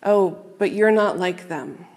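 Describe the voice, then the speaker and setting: A woman minister giving a sermon to a congregation, speaking in a measured, earnest and reflective way with pauses. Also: lowish voice, darkish tone. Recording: long reverb of a large hall.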